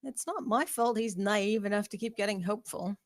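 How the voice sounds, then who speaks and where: A woman's voice: medium pitch, brisk, dry recording, lightly acted. A woman playing a sardonic, self-assured young office worker at a screenplay table read, with a wry, dry manner.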